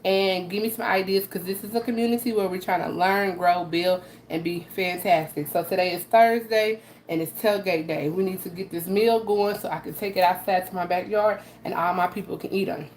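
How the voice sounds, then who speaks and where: A woman with a raspy, slightly low voice talks viewers through a recipe in a casual, chatty way, checking in with "okay?" again and again. She is recorded in a roomy, echoey home kitchen with faint noise behind her. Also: fairly even intonation, brisk, few pauses. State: raspy from allergies. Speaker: host of a live cooking stream. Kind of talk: casual live cooking demo talking to viewers. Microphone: camera she adjusts by hand.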